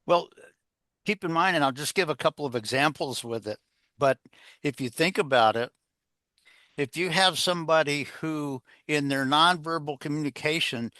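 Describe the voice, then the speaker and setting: A man's voice: fairly high pitch, bright, forceful tone, no echo. A man speaking as an interview guest on leadership, conversational and rambling, often posing rhetorical questions to the listener. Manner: rambling, filler-heavy, poses rhetorical questions. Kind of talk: interview answers, conversational coaching.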